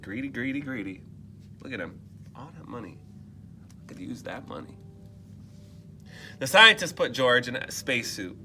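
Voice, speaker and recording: Male read-aloud storyteller: bright, lively pitch swings, chatty asides to young listeners. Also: moderate pace, pauses often, soft background music. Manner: expressive, asks listeners questions in muttered asides. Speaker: teacher reading aloud to children.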